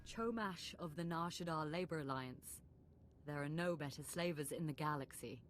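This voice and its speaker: An actress playing a poised, courteous imperial diplomat in a video game, speaking in a measured, formal way. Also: female voice, deep voice, natural voice. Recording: game audio with faint ambience.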